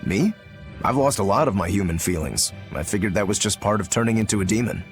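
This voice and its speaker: A man's voice: lowish voice, animated, fast talker, soft background music, lightly acted. An actor playing a laid-back, worldly male demon in a game, speaking with dry wit. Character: easygoing adult male demon, wry and worldly.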